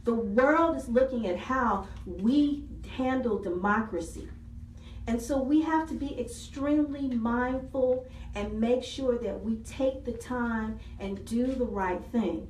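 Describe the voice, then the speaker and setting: A woman's voice: on the high side, slow, recorded from a distance, slight background noise. Woman speaking earnestly in an echoey hall. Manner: earnest, repeats key phrases for emphasis. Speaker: city council member. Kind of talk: public meeting speech. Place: city council meeting room.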